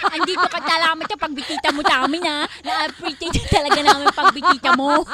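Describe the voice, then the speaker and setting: A woman's voice: sits high, brisk, crowd noise. A Filipino woman co-hosting radio with teasing, giggly, casual banter. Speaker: radio co-host.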